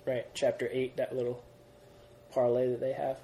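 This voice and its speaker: A young man leading a Bible study class, energetic, quizzing the group and often restarting his sentences. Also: medium pitch, moderate pace. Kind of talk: classroom Bible lesson with questions.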